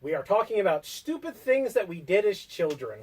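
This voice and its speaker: A man's voice: high voice, sing-song, moderate pace, breathy edge. An American man in his early thirties from Connecticut, co-hosting and telling stories in a casual chat. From Connecticut, United States. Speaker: co-host. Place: basement room. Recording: voice somewhat distant from the mic.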